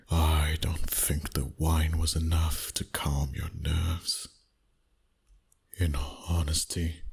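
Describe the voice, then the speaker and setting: Very deep, breathy seductive vampire played by a man. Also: exaggerated voice. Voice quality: breathy, husky, often whispered. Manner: slow, intimate, teasing. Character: seductive, dominant, teasing male vampire boss. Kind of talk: ASMR romantic roleplay.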